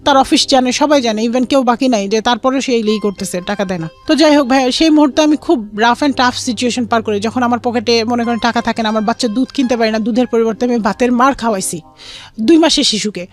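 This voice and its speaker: Young Bangladeshi woman as interview guest, very quick personal storytelling with quoted dialogue, soft music underneath. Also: fairly high pitch. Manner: mixes in English words. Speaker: guest telling her life story.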